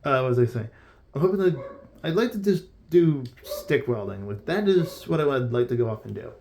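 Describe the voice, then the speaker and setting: A man's voice: medium pitch, animated, brisk, gravelly, mellow, slight background noise. A young man from Pennsylvania giving casual, rambling gameplay commentary from his bedroom. He is chatty, drifts off topic and pokes fun at himself. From Pennsylvania, USA. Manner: chatty, easily sidetracked, self-mocking. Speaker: gaming YouTuber. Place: bedroom at home.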